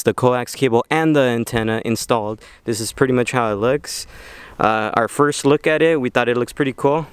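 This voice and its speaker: A man with a clean, smooth voice at a middle pitch hosts a casual tutorial. He talks informally and walks viewers through each step. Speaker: video host.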